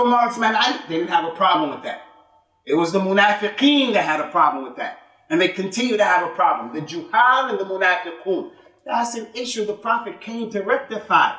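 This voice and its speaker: A man's voice: high-pitched, expressive, fairly quick, sharp tone. A man giving an emphatic sermon in a mosque, repeating points and asking rhetorical questions, with Arabic religious terms mixed into his English. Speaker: imam giving Friday sermon. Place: mosque prayer hall. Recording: echoey hall, voice from some distance.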